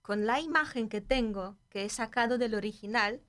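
A woman's voice: on the high side, normal speed, a bit bright. Thoughtful woman, Polish native speaker. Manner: thoughtful, hesitant, many restarts. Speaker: literary translator, guest. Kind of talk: casual podcast conversation.

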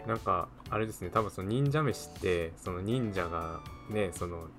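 A man's voice: sits low, expressive, moderate pace, fairly bright tone, faint music behind. A man in a casual radio chat, explaining things as he thinks aloud.

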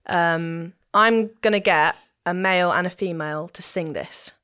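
A woman's voice: fairly low pitch, unhurried, bright, forceful tone, clean tone, no room sound, clean studio sound. A woman speaking conversationally as an interview guest, explaining things in a thoughtful way. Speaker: interview guest, church musician and singer.

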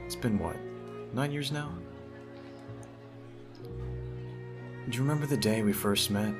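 A man's voice: medium pitch, slow, music in the background, natural voice. A man playing a teasing, smitten teenage childhood friend in an ASMR audio roleplay, talking straight to the listener in a conversational way. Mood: playful, fond.

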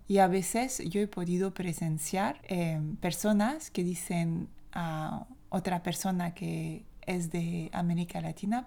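A woman with a French accent talks through her views as a language teacher on a solo podcast. Her voice sits a little low and sounds mellow and warm. She speaks in a measured, reflective way and states her opinions plainly. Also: gravelly. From France.

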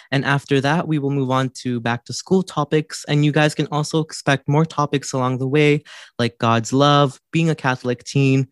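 Steady twenty-one-year-old podcast co-host. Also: mid-range voice, no echo, clean studio sound. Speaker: youth ministry leader, podcast co-host.

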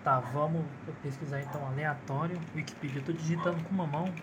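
A man's voice: fairly high pitch, steady tone, quick pace, background noise. A man with a Brazilian accent hosting a solo podcast, rambling in a cynical, profane and self-mocking way while holding his phone to record. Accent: Brazilian Portuguese. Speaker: podcast host. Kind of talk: casual solo podcast monologue. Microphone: phone held in one hand.

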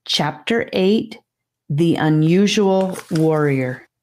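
Female audiobook narrator, low register, slow and measured reading of children's fantasy. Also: darkish tone. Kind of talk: scripted fantasy story narration.

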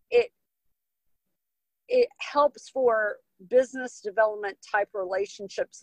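An American woman with a medium-pitched voice talks as an interview guest. She is earnest and emphatic, explaining her views conversationally. The sound is dry and close, with hardly any room around it. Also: no echo, rough recording. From Texas and Hawaii, United States. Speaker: podcast guest, business coach and author.

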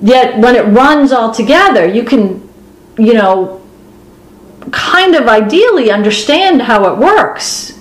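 A woman's voice: on the high side, animated, slow pace. Woman co-host, expansive explainer, echoey room. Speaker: podcast co-host. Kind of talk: spiritual discussion. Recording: roomy echo.

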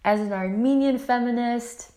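A woman's voice: on the high side, normal speed, mellow. A woman from the United States hosting a solo podcast, speaking casually and earnestly in loose, affirming phrases. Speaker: podcast host.

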